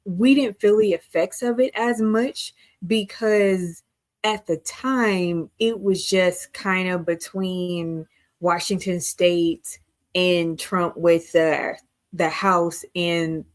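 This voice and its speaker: A woman's voice: lowish voice, fairly even intonation, takes it slowly. A woman co-hosting a casual live-stream discussion, explaining earnestly and checking her notes as she goes. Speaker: co-host.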